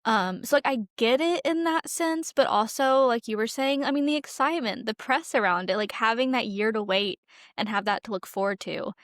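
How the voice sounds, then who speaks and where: A woman's voice: a little high, normal speed, no echo, clean studio sound. A woman co-hosting a casual podcast, chatty and sprinkling "like" through her sentences. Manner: chatty, heavy use of "like". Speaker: podcast co-host.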